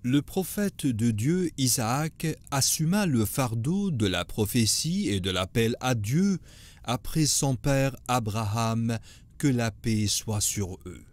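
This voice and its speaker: Guy narrating religious cartoon story, slow solemn measured, mid pitch. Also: expressive, darkish tone.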